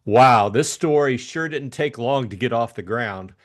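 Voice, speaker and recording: A man co-hosting a casual book discussion, thinking aloud in a hesitant, halting way. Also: medium pitch, loud music behind the voice.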